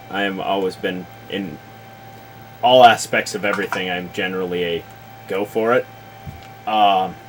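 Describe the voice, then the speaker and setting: A man talking casually about tabletop games in a deliberate, halting, explanatory way, as if advising another player. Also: on the low side, slight background noise, low-quality recording. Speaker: show host, experienced game master advising.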